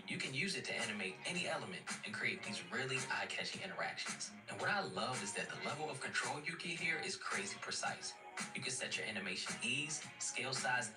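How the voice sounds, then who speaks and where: Male tutorial ad presenter, slangy and informal, middle pitch, roomy sound with loud music. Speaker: advertisement presenter. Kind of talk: casual scripted tutorial ad. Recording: roomy, distant playback under loud music.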